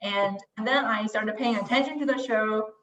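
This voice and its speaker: A woman's voice: fairly high pitch, moderate pace, fairly bright tone, slight background noise. A woman whose first language is Mandarin, chatting casually and self-deprecatingly, often restarting her sentences. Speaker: guest, language website creator. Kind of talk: casual conversation. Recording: distant, roomy, dull lo-fi sound.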